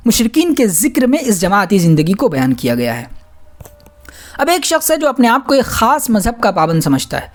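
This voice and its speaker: A woman reading a scholarly religious book aloud as an audiobook narrator, in a formal, measured way. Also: mid-range voice. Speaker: audiobook reader.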